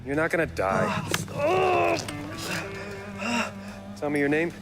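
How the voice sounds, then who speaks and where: An actor playing a brash, take-charge battlefield medic in a video game. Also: male voice, on the high side, wildly swooping pitch, slow, loud music behind the voice, heavily acted. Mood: urgent, reassuring. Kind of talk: dramatic video game acting.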